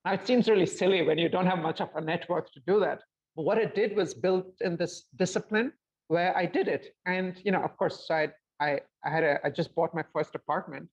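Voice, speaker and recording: A man in his fifties, a guest on a video call, speaking reflectively and with lively gestures. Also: on the high side. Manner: reflective, gestures a lot while talking. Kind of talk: casual video-call conversation. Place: home room with bookshelves. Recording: slightly dull lo-fi call audio.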